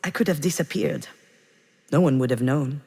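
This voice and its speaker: An actress playing a reflective, wounded woman confiding her memories in measured, intimate visual novel narration. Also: low voice, vocal fry, darkish tone, husky, heavily acted. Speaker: video game character narrator. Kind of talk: introspective scripted narration, visual novel voice acting.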